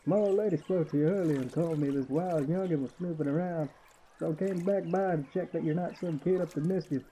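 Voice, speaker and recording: An actor playing a kindly, folksy old waterworks caretaker in a radio play. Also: male voice, a little high, a little fast, mellow, breathy edge, poor audio. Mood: warm, conspiring. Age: older.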